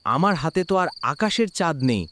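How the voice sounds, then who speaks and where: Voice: mid-range voice, sing-song, normal speed, a bit bright, a little hiss or hum. An actor voicing a poor, weary boatman husband in a cartoon, speaking in an earnest, resigned manner. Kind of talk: dramatic cartoon voice acting.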